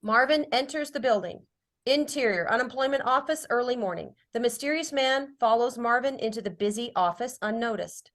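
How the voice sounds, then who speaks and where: A woman's voice: a little high, lively intonation, a little fast, fairly bright tone. A woman reading stage directions as scripted narration at a drama table read.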